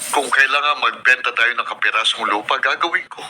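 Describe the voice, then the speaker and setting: A man's voice: mid-range voice, brisk, close to an everyday voice. A man playing a troubled, devoted middle-aged father in a Filipino radio drama, speaking earnestly and insistently. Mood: earnest reassurance. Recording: noisy radio broadcast with clicks.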